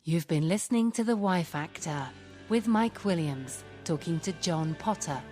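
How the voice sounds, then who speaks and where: A woman announcer with a low voice reads scripted broadcast credits. Music plays clearly behind her. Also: lively intonation, moderate pace, a little mellow. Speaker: radio announcer.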